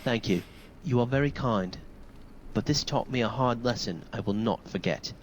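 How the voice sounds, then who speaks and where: A man's voice: medium pitch, moderate pace, natural voice. Man acting an earnest fantasy knight, noisy backdrop. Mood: earnest, hopeful. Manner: formal, polite, sincere. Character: earnest, courteous young knight of a fantasy castle. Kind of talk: video game dialogue acting.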